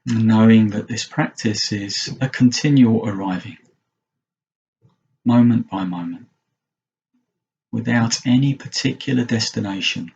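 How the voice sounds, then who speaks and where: A man guides a mindfulness meditation in a low, creaky voice. He speaks gently, leaving long pauses between short phrases. The recording is dull and slightly muffled. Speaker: meditation teacher.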